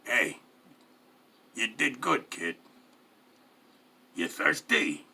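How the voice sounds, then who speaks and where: Man acting out stout mafia boss, gravelly, forceful, streetwise, curt, commanding, storytelling character voice. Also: on the low side, very animated, drawn-out words, exaggerated voice. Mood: curt approval.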